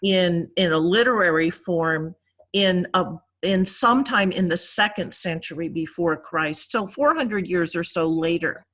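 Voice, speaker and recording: A woman teaching a class informally, explaining deliberately and pausing to search for words. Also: on the low side, a bit bright. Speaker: priest teaching a Bible class.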